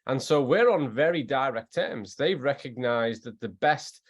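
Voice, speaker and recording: A man from Liverpool with the local accent speaks in a mid-range voice. He chats informally as an invited expert, effusive and animated as he tells things. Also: medium pitch, a little slow. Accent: Liverpool English. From Liverpool, England. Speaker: guest scientist and former athletes' trainer. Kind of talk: casual chat on an online group call.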